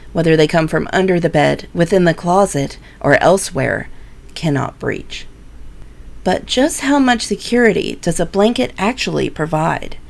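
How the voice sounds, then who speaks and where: A woman playing the composed host of an eerie tale anthology, giving scripted introductions in a measured, formal way. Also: deep voice, creaky.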